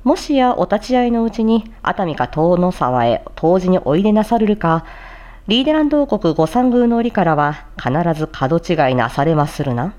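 A woman voice performer with a soft, mellow tone that sits a little low. Her intonation swings widely as she presents and demonstrates recitation practice. She pays close attention to how each phrase is articulated. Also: very animated, normal speed. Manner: articulation-focused, demonstrating and explaining tricky phrases. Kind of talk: casual explanatory talk with formal script recitation.

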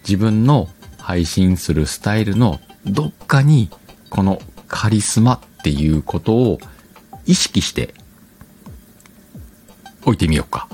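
A man's voice: lowish voice, sing-song, takes it slowly, pauses often, a little mellow, faint music behind. A man hosting a casual online radio show, chatting colloquially and addressing listeners directly. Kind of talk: casual solo talk and advice to streamers.

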